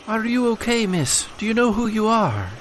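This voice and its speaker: Man playing anxious, high-pitched folksy fisherman. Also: sing-song, normal speed, a little hiss or hum. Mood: worried.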